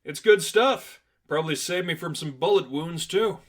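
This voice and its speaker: A man's voice: on the high side, moderate pace, voice pushed up, close to an everyday voice. Man playing a young vigilante, cocky, wisecracking, playful, teasing, superhero story reading.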